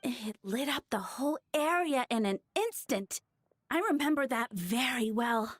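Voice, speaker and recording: High-voiced, lovestruck flower girl. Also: lively intonation, measured pace, no echo. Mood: wistful. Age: young adult. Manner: dreamy, hesitant, flustered. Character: lovestruck, flustered young flower-shop girl, dreamy. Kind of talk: video game character voice acting. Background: game music.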